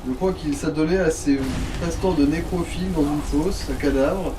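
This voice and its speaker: A man's voice: fairly high pitch, moderate pace. A man giving casual, crude and mocking commentary on a video game, recorded at some distance from the mic with faint noise. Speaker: let's play co-commentator. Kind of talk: casual joking gameplay commentary.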